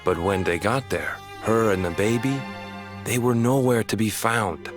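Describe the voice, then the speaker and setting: A young island fisherman, played by a man in a video game. His voice is fairly low, with a colloquial, earnest storyteller's delivery that carries some burden. Music runs behind his words. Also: unhurried, natural voice. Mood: grave, earnest. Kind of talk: video game character acting.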